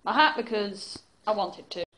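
A woman's voice: medium pitch, animated, normal speed, sharp tone, slight background noise, rough recording. A young woman from England chatting casually to a phone camera held at arm's length, rambling with lots of fillers. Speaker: vlogger. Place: bedroom, then indoors at home.

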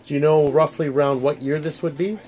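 A man's voice: on the high side, fairly even intonation, a little fast, a little mellow, lo-fi sound. A man hosting from behind a handheld camera, casually chatting and asking questions indoors. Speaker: host filming and asking questions. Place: small room indoors.

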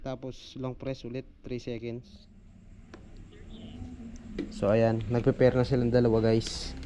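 A man's voice: mid-range voice, level tone, slow pace, warm tone. A man with a Filipino accent gives a casual hands-on product demo as a reviewer, informally addressing his viewers. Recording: noisy amateur recording with background ambience.

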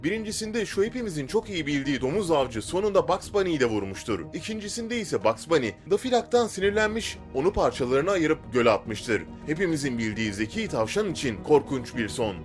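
Male narrator, scripted countdown read, highly animated pitch, music underneath. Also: brisk, few pauses.

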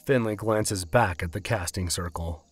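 Low, husky male narrator. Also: moderate pace, no echo, faint music behind. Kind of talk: scripted narration of a fantasy audio drama.

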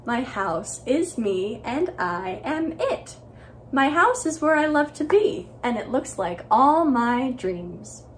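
A woman's voice: high voice, very animated, takes it slowly, slight background noise, heavily acted. Woman playing carefree, dreamy storybook man, lilting. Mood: contented. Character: carefree, unbothered male homeowner, dreamy and contented.

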